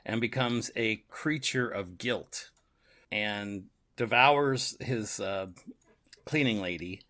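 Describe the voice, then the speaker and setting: Bright-voiced man, chuckling podcast co-host. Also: lowish voice, slow. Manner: chuckling often as he talks. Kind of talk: casual book and radio drama discussion.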